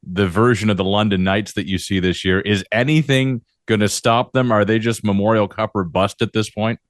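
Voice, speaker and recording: A man's voice: lowish voice, steady tone, normal speed, no echo, clean studio sound. A man hosting a sports podcast, interviewing guests and addressing listeners. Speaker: podcast host.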